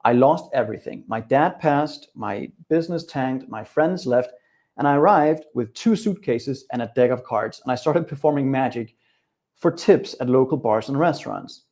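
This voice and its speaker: A man's voice: mid-range voice, moderate pace, darkish tone. A middle-aged man from Denmark with a Danish accent, giving an upbeat, direct live webinar talk that addresses his viewers and their chat. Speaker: coach.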